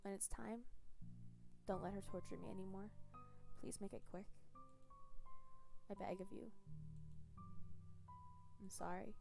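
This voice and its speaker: A woman voicing a weak, dying man who talks in a quiet, faltering, pleading way, as if too cold and lightheaded to go on. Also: mid-range voice, steady tone, unhurried, vocal fry, pauses often, over music.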